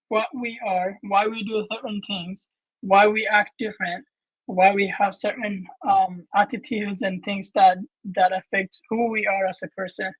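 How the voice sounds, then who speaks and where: A man, answering as a guest on a video call in an earnest, halting way. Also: high voice, fairly bright tone, no echo, low-quality recording. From Ethiopia. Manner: earnest, with frequent restarts and fillers. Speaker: guest, medical student. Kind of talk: casual interview answers.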